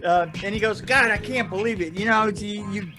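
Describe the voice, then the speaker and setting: Older man, high-pitched voice, casual storyteller, rambling and halting. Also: music in the background. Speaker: podcast storyteller guest. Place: indoor room on a video call.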